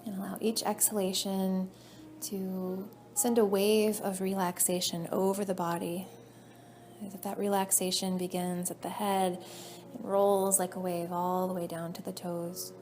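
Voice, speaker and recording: A woman leading a guided meditation as a yoga nidra teacher, soothing and measured, her phrases trailing off softly. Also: mid-range voice, little pitch movement. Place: indoor class room. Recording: faint background noise, live class recording.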